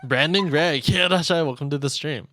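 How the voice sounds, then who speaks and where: A man streaming a visual novel, reading its text aloud as dramatic, measured narration. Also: on the high side, sharp tone. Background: game music.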